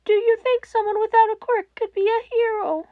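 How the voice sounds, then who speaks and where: A woman's voice: very high voice, steady tone, normal speed, no echo, phone-quality sound, voice pushed up. A woman voicing a despairing young boy in a fan-fiction reading, fragile, trembling and pleading.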